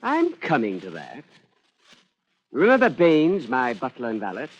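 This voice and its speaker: A man's voice: medium pitch, huge pitch swings, measured pace, heavily acted. An actor playing an arrogant, flippant British man-about-town who is a killer in hiding, in 1940s radio drama style. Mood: defensive, callous. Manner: haughty, flippant, old-boy phrasing. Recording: dull lo-fi old radio recording.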